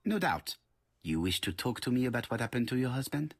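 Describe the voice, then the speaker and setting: An actor playing a polite, precise middle-aged detective in a video game, speaking formally with a French accent. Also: male voice, medium pitch, very animated, a little hiss or hum. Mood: courteous. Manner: formal, measured, courteous.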